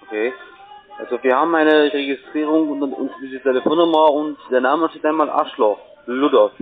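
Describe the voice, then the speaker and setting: A man calls from a call centre, his voice tinny over the phone with music clearly behind it. His pitch is middling and he speaks haltingly, often repeating himself. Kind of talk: unscripted sales call.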